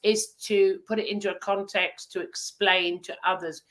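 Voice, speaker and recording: A woman's voice: mid-range voice, fairly even intonation, moderate pace, fairly bright tone. A woman from the UK hosting a video call, chatty and blunt, with hay fever. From United Kingdom. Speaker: host. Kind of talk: casual video call chat.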